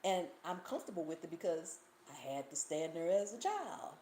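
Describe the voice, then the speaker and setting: A woman with a Southern US accent chats on a cooking channel's live Q&A, telling stories and reading out viewer comments. Her pitch swoops widely as she talks. The room gives her voice a roomy echo over a faint hiss. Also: normal speed. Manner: animated storytelling, reads viewer comments, laughs often. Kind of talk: casual livestream Q&A chat.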